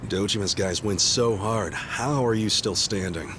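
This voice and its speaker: An actor voicing a brash, wisecracking young male yakuza buddy in an English game dub, teasing, excitable and swearing freely. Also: on the low side, a little slow, a little hiss or hum. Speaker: game character. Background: game soundtrack music.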